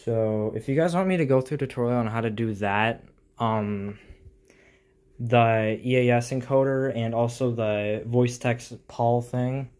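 Man, medium pitch, informal tutorial vlog, halting explanations, small home room, phone mic. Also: darkish tone. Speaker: hobbyist video host. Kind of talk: casual tech tutorial vlog.

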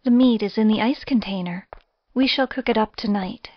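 A woman playing a patient, gentle wife in a Gothic audio drama, with earnest, theatrical delivery. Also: a little high, moderate pace, highs cut off, natural voice. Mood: mild. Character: patient, gentle young wife pleading for her cat.

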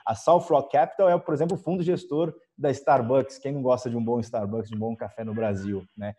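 A man's voice: medium pitch, fairly even intonation, fairly quick, warm tone, breathy edge. A Brazilian man in his thirties moderating an online panel conversationally, speaking from a room at home on a video call.

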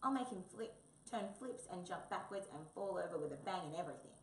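A woman's voice: lowish voice, lively intonation, a little fast. A woman voicing a boisterous, muddle-headed male wombat in a children's storybook, with a blunt, eager, childlike manner. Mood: gleeful. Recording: roomy, distant mic, faint noise.

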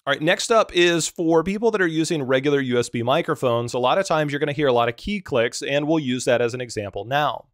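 Male tutorial host, deep voice, slightly raised pitch, plain practical delivery, dry close-mic sound. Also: fast talker, hardly any pauses, no room sound. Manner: relaxed, practical explaining. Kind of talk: screen-recorded software tutorial.

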